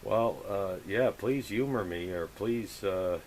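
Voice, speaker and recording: Guy with a lowish voice doing ham radio chat, gushing, lots of jargon, bit of hiss. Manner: effusive, drawn-out radio jargon. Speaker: amateur radio operator. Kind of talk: casual radio contact chat.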